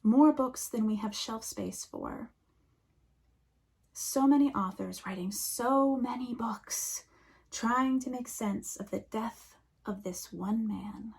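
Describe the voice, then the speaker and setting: A woman minister speaks in a slow, hushed way, her voice husky with a gravelly edge. She sits somewhat high in pitch and often sinks to a near whisper as she reflects. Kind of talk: reflective sermon.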